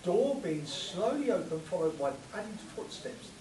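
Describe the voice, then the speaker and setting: A man's voice: high voice, sing-song, a little slow, crowd noise. A man reading sound effect cues and stage directions aloud in a spoof radio play performed live. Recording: echoey room, distant mic, audience noise.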